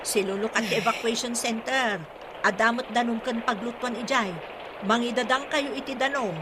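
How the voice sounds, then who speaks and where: Woman playing scolding barangay health worker, fairly high voice, Ilocano accent, radio drama, noisy ambience. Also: moderate pace, close to an everyday voice.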